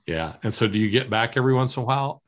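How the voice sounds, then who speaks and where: A man's voice: mid-range voice, lively intonation, racing. A man with a slight Texas accent hosting an interview, upbeat and affirming, asking questions with fillers. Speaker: podcast host. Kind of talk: casual interview chat.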